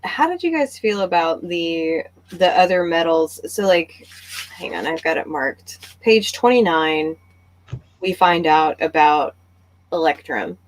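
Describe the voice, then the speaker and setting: A woman's voice: fairly low pitch, animated, a little slow, low-quality recording. A woman hosting a casual book club discussion, talking conversationally and thinking aloud as she goes.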